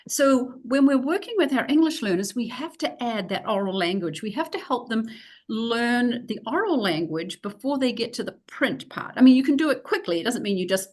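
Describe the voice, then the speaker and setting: Woman, New Zealand accent, middle-aged, teacher trainer, practical explanations, frequent fillers, webcam video call. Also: a little high. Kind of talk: recorded lecture with slides.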